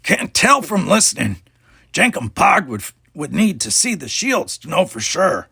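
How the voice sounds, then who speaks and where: A man's voice: a little high, very animated, unhurried, creaky, sharp tone, husky, dry recording, clean studio sound, pitched above the performer's own voice, full character voice. A man voicing a frantic, worried young male alien engineer from a storybook, who speaks of himself in the third person. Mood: worried, uneasy.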